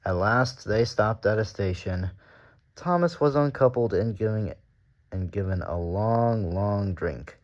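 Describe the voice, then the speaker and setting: A man's voice: fairly low pitch, lively intonation, unhurried, muffled recording. A man narrating a children's storybook aloud as the story reader.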